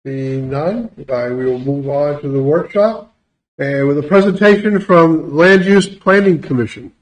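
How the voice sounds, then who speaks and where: A man's voice: fairly high pitch, unhurried, poor audio. A man chairs a county commissioners' meeting, moving it along with brief, courteous remarks.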